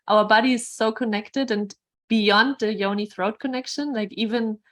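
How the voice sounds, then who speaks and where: A woman's voice: fairly high pitch, steady tone, normal speed, a bit bright. Woman host reflecting, agreeing. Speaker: podcast host. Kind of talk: conversational interview.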